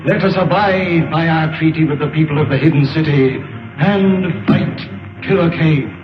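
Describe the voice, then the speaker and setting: An actor playing a solemn, dignified alien council statesman in a 1930s film serial, formal and measured. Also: on the high side, natural voice. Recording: echoey muffled old film, music behind.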